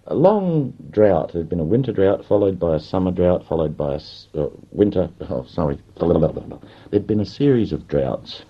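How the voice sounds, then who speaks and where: A man telling a historical story in an old interview, slowly and haltingly, correcting himself as he goes. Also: deep voice, breathy edge, muffled recording. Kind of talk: archive interview recounting history.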